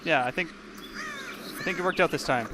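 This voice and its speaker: A man's voice: fairly high pitch, brisk, background noise. A man from Canada doing casual, wry, reactive commentary on a video game. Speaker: gaming video host. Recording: game sound bleeding in behind commentary.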